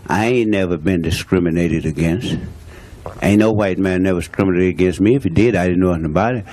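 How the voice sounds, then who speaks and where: A man's voice: deep voice, quick pace, warm tone. A man, speaking bluntly and emphatically as a guest on a talk show and repeating his points. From Louisiana, USA. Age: 60s. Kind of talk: impassioned talk show statement.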